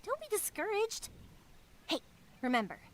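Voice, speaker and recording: A woman's voice: extremely high pitch, wildly swooping pitch, normal speed, full character voice. A woman voicing a cheerful, encouraging young woman who is playful with children in an anime game.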